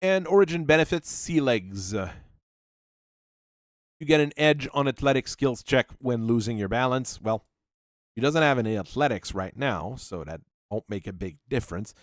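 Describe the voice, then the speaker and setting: A man's voice: mid-range voice, expressive, no echo, studio quality. Man mulling over game rules aloud. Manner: thinking aloud, reading rules out, halting with fillers. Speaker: channel host. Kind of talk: talking through tabletop character creation.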